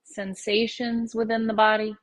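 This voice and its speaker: A woman leading a guided meditation as a yoga nidra teacher, speaking in slow, unhurried phrases with gentle pauses. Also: on the high side, flat delivery.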